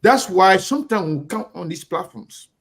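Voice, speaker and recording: A man's voice: sits high, lively intonation, normal speed. A middle-aged Liberian man with a Liberian English accent, delivering an emphatic, repetitive talk-show monologue with a preacher-like cadence. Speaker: online talk show host. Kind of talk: impassioned monologue.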